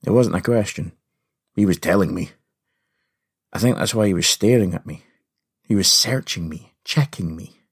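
A man's voice: low-pitched, normal speed, long pauses, slightly rough. A Scottish man hosting a story podcast, narrating in the first person in a folksy, confiding way.

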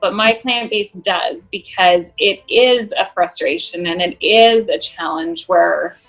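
A woman's voice: fairly low pitch, slow, a bit bright, soft background music, low-quality recording. A woman guest, a movement specialist, explaining her approach in an earnest, conversational way. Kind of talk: conversational interview answers.

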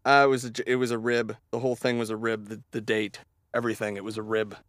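A man's voice: lowish voice, flat delivery, fast talker, a bit bright, dry recording, clean studio sound. A man co-hosting a podcast, rambling and laughing in casual banter, stuffed up from allergies. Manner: rambling, laughs at his own setup. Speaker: podcast co-host.

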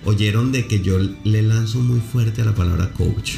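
Effusive low-voiced male podcast host. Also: moderate pace, vocal fry, dark tone. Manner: effusive, talkative, explains marketing terms. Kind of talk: podcast interview. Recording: echoey room with faint music.

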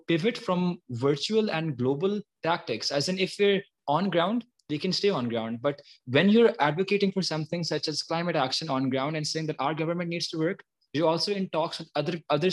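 A man, talking earnestly and explaining his views as an activist guest in an interview. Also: fairly high pitch. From Pakistan. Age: early 20s. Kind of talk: spontaneous interview talk.

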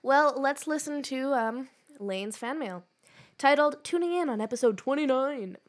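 A woman with a slightly high voice tells stories in loose podcast chat. She leans on fillers and drops wry asides. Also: lively intonation, normal speed. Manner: storytelling, filler-heavy, wry. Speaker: podcast co-host. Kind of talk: casual chat.

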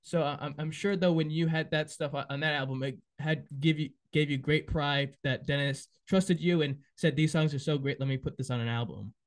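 A man's voice: a little high, steady tone, brisk, hardly any pauses. A man hosting a podcast interview, chatting casually and giving many short enthusiastic affirmations. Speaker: podcast host.

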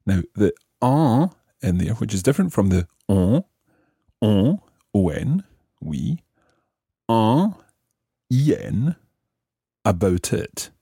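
A man hosts a language lesson in a low, very mellow voice with a husky grain. He explains grammar methodically, enunciating each phrase and translating it. The sound is dry and intimate. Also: very animated, slow pace, long pauses, no room sound. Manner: didactic, carefully enunciates and translates. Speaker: language teacher, podcast host.

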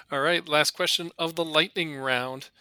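Upbeat male podcast host, bright and crisp, dry close sound. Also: a little high, steady tone, takes it slowly, no echo. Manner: upbeat, laughs along with the guest. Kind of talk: podcast interview.

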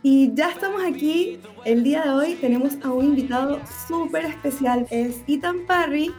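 A woman's voice: sits high, moderate pace, a little mellow, faint music behind. A woman co-hosting a podcast interview, welcoming the guest with enthusiasm. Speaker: podcast co-host. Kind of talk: interview host.

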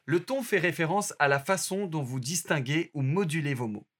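A man reading an audio course aloud in a steady, instructive way. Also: a little high, moderate pace. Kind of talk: scripted narration.

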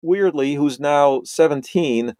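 A middle-aged man from Romania, a podcast guest, talking in a halting way with many restarts and repeated words. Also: a little high, warm tone, dry recording, studio quality. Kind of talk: conversational interview.

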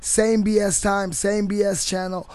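High-voiced man, slow laid-back host. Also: little pitch movement, darkish tone. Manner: laid-back, playful catchphrases. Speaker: podcast host. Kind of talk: casual podcast sign-off.

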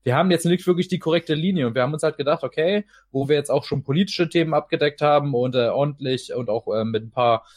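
A man's voice: on the high side, quick pace, hardly any pauses, no echo. A man hosting a podcast in a casual, wordy, rambling way. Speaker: podcast host. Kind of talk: casual talk.

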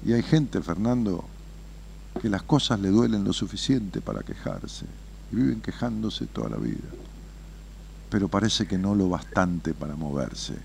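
A man's voice: deep voice, sing-song, measured pace, vocal fry, a little mellow, slight background noise. A man, hosting a radio show, telling a parable with dramatic whispers and swells, then chatting with a caller. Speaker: radio host.

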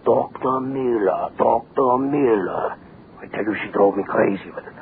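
An actor playing a fussy, agitated old village mayor in a radio drama, halting and deferential. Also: male voice, mid-range voice, natural voice. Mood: agitated, impatient. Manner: agitated, halting, obsequious with superiors. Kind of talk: 1940s radio drama acting. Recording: tinny old radio recording, clear hiss.

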